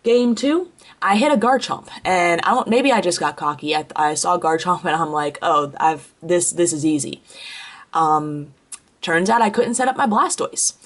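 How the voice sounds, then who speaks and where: A woman's voice: on the low side, moderate pace. A young woman with a head cold and a congested voice, chatting casually through a tournament recap, full of ums and self-corrections. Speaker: card game player recapping a tournament. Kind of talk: casual spoken tournament report.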